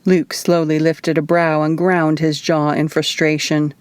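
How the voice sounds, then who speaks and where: A woman reads a first-person novel aloud in audiobook style. Her voice sits slightly low for a woman, and the sound is dry with very little room. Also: flat delivery, a little slow, dry recording. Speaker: author reading her audiobook.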